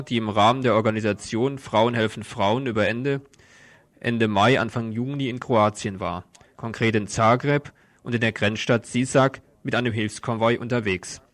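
A man's voice: medium pitch, fairly bright tone, no room sound. A man presenting on radio, reading a scripted news introduction in a studio.

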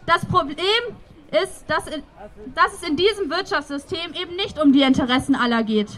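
A woman's voice: high voice, moderate pace, fairly bright tone. A young woman delivering a prepared political speech as a protest speaker.

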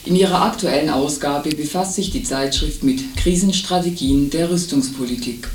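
Male radio presenter, slightly high-pitched voice, scripted report reading. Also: normal speed, slight background noise, poor audio. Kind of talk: scripted radio magazine report, read aloud.

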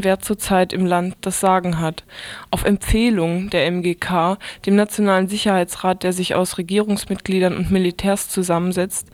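A woman's voice: medium pitch, a little mellow, dry recording. Female radio news presenter, scripted delivery, very dry close studio sound.

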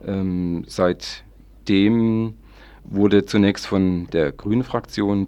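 A man hosting a radio magazine, presenting local news in a halting, semi-improvised way with long winding sentences, close to a studio microphone. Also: low voice, a little mellow.

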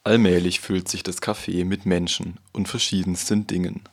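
A man's voice: deep voice, normal speed, noisy background. A man narrating a radio feature in the first person and interviewing people. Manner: calm first-person storytelling, then interviewing. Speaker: radio reporter.

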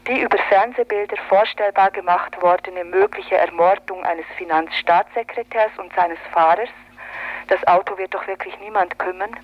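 Female radio commentator, medium pitch, reading prepared political copy; muffled 1980s broadcast sound. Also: normal speed. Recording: dull lo-fi 1980s radio recording.

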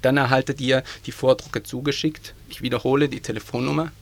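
A man reading station announcements on a local radio station, plainly, with small stumbles. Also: mid-range voice.

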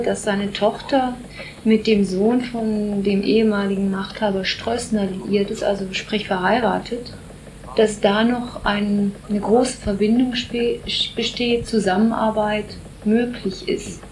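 A woman's voice: mid-range voice, steady tone, measured pace, darkish tone, slight background noise, poor audio. A woman interviewing a guest, asking probing follow-up questions.

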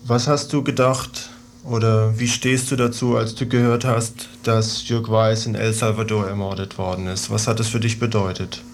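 A man's voice: lowish voice, flat delivery, normal speed, a little hiss or hum. A man hosting a radio programme, interviewing and reading out announcements. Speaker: radio host.

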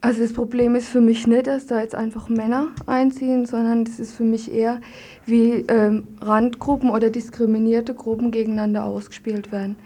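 A woman guest on a radio discussion, speaking in a studio. Her voice sits fairly high yet has a dark, soft tone, and she sets out her points plainly. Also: level tone, fairly quick. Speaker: studio guest, member of an activist group. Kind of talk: reading a letter and giving her view in a radio discussion.

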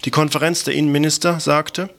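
Gravelly man reading radio news. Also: fairly high pitch, level tone, a little fast, fairly bright tone.

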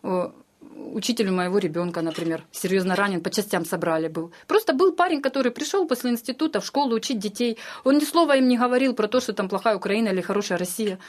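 A woman recounting personal experience in a casual interview, animated and acting out what other people said. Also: medium pitch. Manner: animated storytelling, acts out reported speech. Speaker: interviewee, eyewitness.

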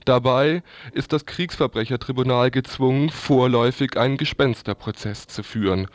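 A man's voice: mid-range voice, normal speed, no room sound. A man presenting a scripted news report on the radio.

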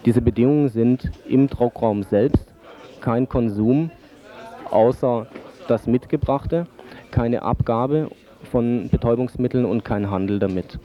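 A man giving formal, measured explanations as an expert guest in a radio interview, with dull lo-fi sound. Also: medium pitch, dark tone. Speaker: drug-aid expert guest.

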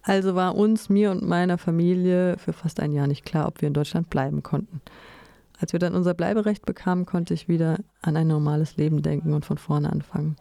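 A woman's voice: lowish voice, fairly even intonation, brisk, creaky, dark tone, no room sound. A woman reading a scripted first-person voice-over translation.

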